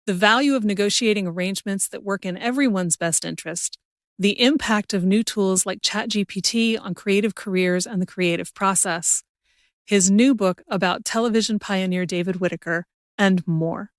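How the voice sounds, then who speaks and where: A woman's voice: medium pitch, moderate pace, dry recording, clean studio sound. A woman speaking as the host of a conversational interview podcast. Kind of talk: scripted introduction and conversational interview.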